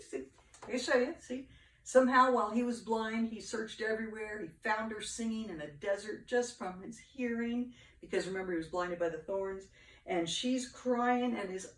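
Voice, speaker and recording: An older woman reads a storybook aloud expressively, with dramatic pauses and playful asides to children. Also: on the high side. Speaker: grandmother reading to grandchildren. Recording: echoey room, distant mic.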